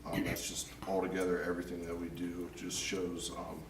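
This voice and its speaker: A man with a very deep voice gives a casual, halting department report, full of ums and uhs. He sits back from the mic in an echoing chamber, and background noise carries clearly. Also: completely flat delivery, recorded from a distance. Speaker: city service department director. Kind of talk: department report at a council meeting.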